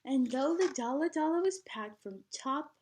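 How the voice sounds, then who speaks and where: A woman with a high-pitched voice reads to children like a teacher. Her delivery is expressive and animated, made for a picture book, and she guides her young listeners through it with simple prompts. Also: moderate pace, slight background noise. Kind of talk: expressive children's read-aloud with questions to listeners.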